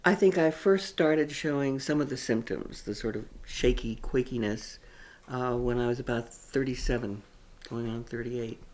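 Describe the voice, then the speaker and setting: Older woman, 70s, New York-raised advocate; reflective, halting delivery. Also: a bass voice, darkish tone. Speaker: children's advocate. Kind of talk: documentary interview.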